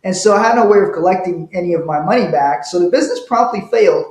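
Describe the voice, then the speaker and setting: A man's voice: sits high, fast talker, a little mellow. A middle-aged man from Liberia, a guest telling stories in a casual interview and laughing now and then. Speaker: guest, wealth manager. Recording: roomy echo.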